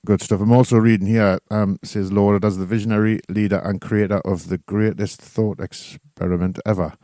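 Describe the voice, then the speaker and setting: A man hosting a football radio show, brisk and self-deprecating as he interviews a guest. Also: fairly low pitch, fairly even intonation, dark tone, dry recording, studio quality.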